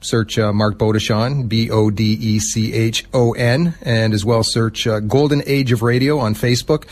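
A man's voice: medium pitch, fairly even intonation, normal speed, darkish tone. A man hosting a casual radio interview. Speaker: radio host.

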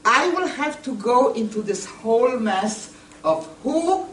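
A woman lecturing to a group in a deliberate, emphatic way, putting questions straight to her listeners. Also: a little high, expressive. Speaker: lecturer, museum educator. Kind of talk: public lecture to a seated group. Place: indoor meeting room. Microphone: camera a few metres away. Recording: roomy, distant camera microphone with noise.

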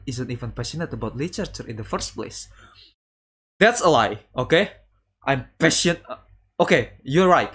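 A man streaming a visual novel, reading dialogue aloud haltingly and adding casual commentary. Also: medium pitch, fairly bright tone, breathy edge. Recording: music playing behind the voice. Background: guitar game music.

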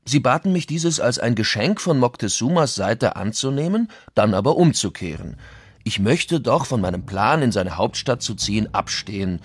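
An actor reading as a Spanish conquistador recounting events in a historical documentary. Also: male voice, medium pitch, lively intonation, moderate pace, husky, dry recording. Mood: measured recounting. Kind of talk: dramatized reading of historical accounts.

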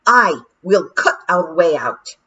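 A woman voicing an excitable, simple-minded male pixie with a Scottish accent, eager and blurting. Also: a little high, a little slow, voice pushed up. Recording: dull lo-fi audiobook recording.

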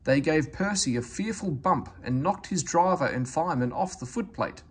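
A man's voice: on the high side, expressive, moderate pace, husky. A man reading a children's story aloud as scripted narration. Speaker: storybook reader.

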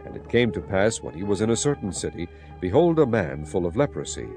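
A man narrating dramatized scripture as a solemn, measured storyteller. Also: low-pitched, sing-song. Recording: clear music bed behind narration. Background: music.

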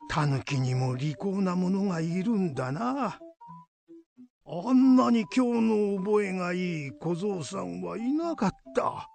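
A man playing a kindly elderly temple priest in a folk tale radio drama, musing in slow, old-fashioned speech. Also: sits high, lively intonation, vocal fry, darkish tone, soft background music, heavily acted. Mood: regretful.

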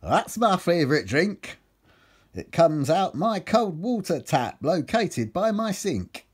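A woman voicing a crude, excitable Geordie man in a comic poem, coarse and whiny, with a Geordie accent. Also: lowish voice, slow, slightly rough, voice pushed up, heavily acted. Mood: pleased.

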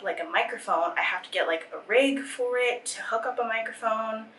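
A woman's voice: medium pitch, fairly bright tone, a little hiss or hum, poor audio. A young woman in her twenties from Singapore, a photographer chatting casually to her camera in a rambling, filler-heavy way. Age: 20s. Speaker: vlogger, photographer. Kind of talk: casual vlog chat. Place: car, small office, then home room. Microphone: camera-mounted mic, held close or on tripod.

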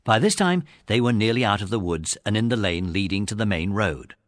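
A man's voice: fairly low pitch, lively intonation, brisk, slight background noise, close to an everyday voice. A man with an English accent narrating a children's adventure audiobook as a scripted story.

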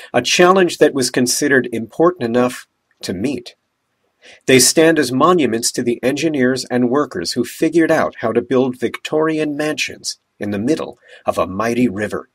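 Husky man narrating a documentary. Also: mid-range voice. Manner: calm, measured storytelling. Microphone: voice-over.

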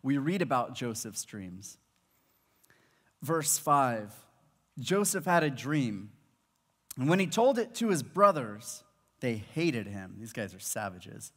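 A man's voice: medium pitch, slow, pauses often. A man in his thirties, a pastor from Malawi, preaching a sermon on stage with a headset mic and acting out the voices in his stories. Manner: animated storytelling preacher, acts out quoted voices. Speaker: high school pastor. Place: large church auditorium stage with audience. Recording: long reverb of a large hall.

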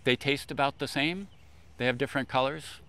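An older man explaining things informally in a measured way, as an expert guest. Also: medium pitch, pushed and bright. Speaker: expert guest, agricultural scientist. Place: outdoors on a lawn beside a food truck.

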